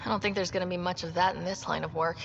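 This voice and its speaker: A woman's voice: lowish voice, steady tone, racing, vocal fry, lo-fi sound, slight background noise. An actress playing a determined, earnest young female leader of space explorers in a video game. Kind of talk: video game cutscene acting.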